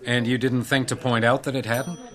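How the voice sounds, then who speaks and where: A man playing a dogged, polite hotel detective in a radio drama, measured and dry in questioning and narration. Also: medium pitch, flat delivery, fairly bright tone, close to an everyday voice. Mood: probing. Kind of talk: radio drama dialogue and first-person noir narration.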